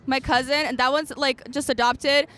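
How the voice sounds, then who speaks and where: A woman's voice: high-pitched, steady tone, normal speed, a bit bright. A young woman from Seattle chatting casually on a city street, laid-back and slangy, leaning on "literally.". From Seattle, United States. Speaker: interviewee. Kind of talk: casual chat.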